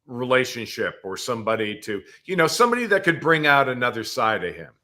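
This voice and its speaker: Male panelist for casual chat: sharp bright tone, emphatic, blunt, a bit salty. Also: medium pitch, very animated, fairly quick. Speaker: podcast panelist.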